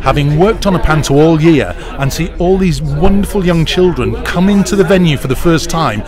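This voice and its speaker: A man's voice: a little high, normal speed, a crowd in the background. A middle-aged British man giving interview answers in a chatty, enthusiastic storytelling way. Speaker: pantomime producer, interviewee. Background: music clearly audible.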